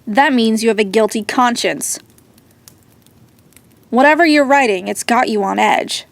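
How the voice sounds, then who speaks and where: A woman's voice: on the high side, a little slow, vocal fry, no echo. An actress playing a sarcastic, suspicious teenage girl who needles her best friend in a radio drama. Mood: needling. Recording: music under the dialogue.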